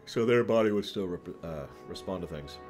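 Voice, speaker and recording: A man hosting a livestream, answering viewer questions in casual, off-the-cuff commentary with an animated, playful way of talking. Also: low-pitched, moderate pace, music in the background. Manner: animated, chuckles through his points, acts out examples.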